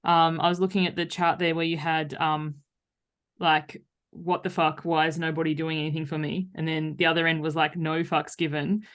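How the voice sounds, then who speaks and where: A woman's voice: deep voice, level tone, moderate pace. A woman hosting a podcast, chatting casually and informally. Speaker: podcast host. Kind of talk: casual chat.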